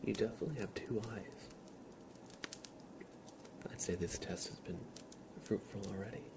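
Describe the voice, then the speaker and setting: A man hosting an ASMR livestream in a breathy whisper, speaking gently with playful mock-seriousness as he acts out little roleplays. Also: lowish voice, normal speed, very noisy. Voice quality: breathy whisper, mostly unvoiced.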